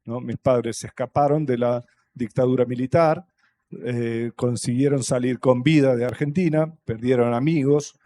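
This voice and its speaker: Hesitant Brazilian man with a mellow voice. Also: medium pitch, steady tone. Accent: Brazilian Portuguese. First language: Portuguese. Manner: thoughtful, halting, with many fillers and restarts. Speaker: panel guest, writer. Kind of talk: festival panel talk. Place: stage at a public festival panel.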